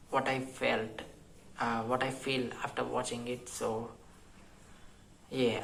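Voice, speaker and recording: A man's voice: mid-range voice, creaky, a little hiss or hum, poor audio. A man from India, Gujarati by birth, chatting casually and hesitantly as he reacts to a video. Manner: chatty, hesitant with fillers, self-deprecating. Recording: music playing loudly behind. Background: video music.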